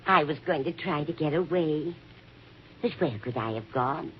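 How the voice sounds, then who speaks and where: A woman's voice: sits low, expressive, moderate pace. An actress playing a sweet-seeming, scheming old lady in a fluttery, coy manner for a 1950s radio drama. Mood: feigned innocence. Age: older. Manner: coy, fluttery, feigned innocence. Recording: muffled vintage radio recording with hiss.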